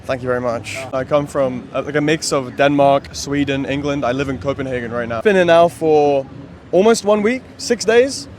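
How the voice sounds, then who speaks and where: A man's voice: mid-range voice, normal speed. A young man, a tourist, talking casually and bluntly in a street interview. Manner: relaxed and opinionated. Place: city street outdoors.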